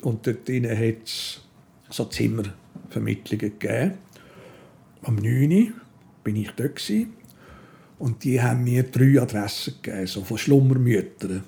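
An older man with a Swiss German accent, telling anecdotes in a casual, animated way, repeating himself as he goes. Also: medium pitch, measured pace, vocal fry, long pauses. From Switzerland. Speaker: interview guest. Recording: music behind the voice.

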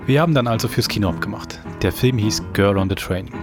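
A man's voice: lowish voice, fast. A man presenting a podcast and reading a story aloud in a conversational way. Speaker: podcast host. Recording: music underneath.

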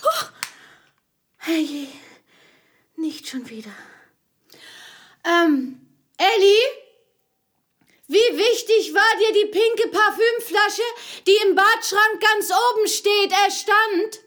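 A woman's voice: extremely high pitch, very slow, pauses often, fairly bright tone, heavily acted. A woman playing a scatterbrained, mild middle-aged mother with a hesitant, airy manner in a children's radio play. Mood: flustered. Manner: hesitant, airy, absent-minded.

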